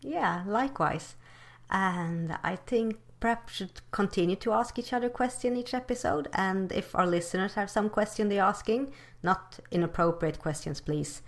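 A woman's voice: mid-range voice, normal speed. A woman co-hosting a casual podcast, speaking in an encouraging, playful way. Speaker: podcast co-host. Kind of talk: casual conversation.

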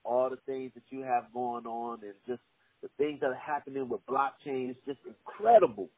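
A young man hosting a podcast, reading sponsor spots with energetic hype and chatting casually with his guest. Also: normal speed, no echo, narrow, tinny audio. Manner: energetic, hype-driven pitch with repeated "all right". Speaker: podcast host. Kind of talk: casual podcast ad reads and interview.

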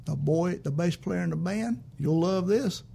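A man hosts a laid-back interview. His voice sits high and gravelly. He rambles agreeably between questions. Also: lively intonation, normal speed, mellow. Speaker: podcast host. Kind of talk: casual interview chat.

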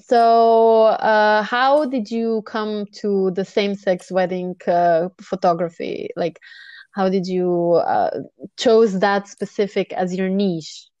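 A woman hosting a casual interview, friendly and full of quick affirmations and fillers. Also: medium pitch, steady tone, darkish tone, no echo, muffled recording. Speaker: interviewer host.

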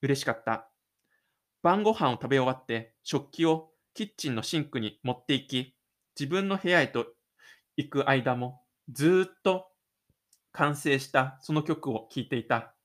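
Guy hosting his own little audio drama show, gentle chatty talk, storyteller vibe, medium pitch. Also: animated, unhurried. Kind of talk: audio drama narration and casual host chat.

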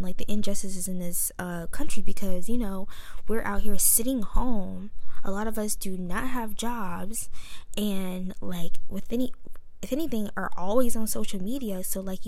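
A young woman in her twenties with a girlish voice, speaking into a home microphone. She shifts between emphatic bursts and soft, near-whispered asides. Her tone is candid and personal, and her pitch sits mid-range. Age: 20s. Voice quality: high, girlish voice. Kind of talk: personal monologue. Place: her bedroom. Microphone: microphone in her room.

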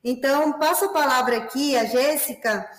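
A woman's voice: high-pitched, normal speed, reverberant, rough recording. A woman from Peru with a Spanish accent, hosting a corporate webinar in a friendly way and using many fillers. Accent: Peruvian Spanish. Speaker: webinar host, business development manager.

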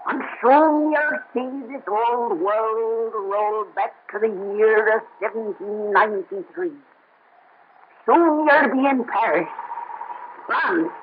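A woman playing a cackling old witch who tells tales by the fire in a slow, folksy drawl, in a 1930s radio horror play. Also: fairly high pitch. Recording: tinny, noisy old radio broadcast.

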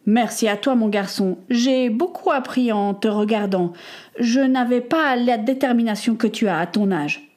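A woman voicing a kind, fatherly ship's carpenter who speaks gently and calmly. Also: on the high side, normal speed, lightly acted. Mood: gentle.

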